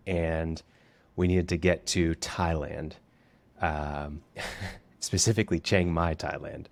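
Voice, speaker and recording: Very low-voiced male podcast co-host, rambling casual storyteller with fillers. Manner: rambling anecdotes with laughs and fillers.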